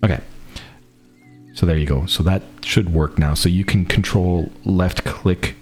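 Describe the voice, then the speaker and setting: A man's voice: deep voice, steady tone, a little slow, darkish tone, soft background music. A man running an online tabletop game as game master, narrating casually.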